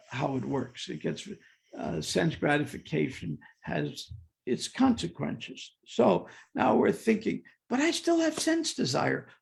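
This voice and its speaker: An older man with a heavily gravelly, creaky voice and a fairly high pitch gives a devotional class. He talks conversationally, jokes with the listeners and stacks up repeated "and, and" restarts as he tells stories. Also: darkish tone. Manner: conversational, humorous, questions the audience. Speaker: class speaker.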